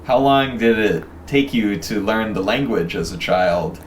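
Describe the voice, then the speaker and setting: A man's voice: fairly low pitch, expressive, unhurried. A man hosting a casual interview in an encouraging manner, reacting with interest to the answers. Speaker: interviewer, host. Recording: roomy, distant mic, ambient noise.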